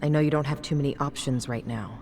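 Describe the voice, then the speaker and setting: An actress playing a proud, resolute female crime boss in a video game dub, speaking in a formal, measured and commanding way. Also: very deep voice, noisy background. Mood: grave, decisive. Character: proud, resolute female underworld boss giving grave orders.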